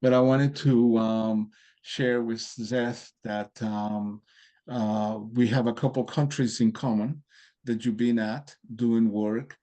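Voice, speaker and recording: Mellow man. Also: mid-range voice, steady tone, slow pace. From Colombia. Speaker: participant in a group video meeting. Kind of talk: casual chat. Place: video call.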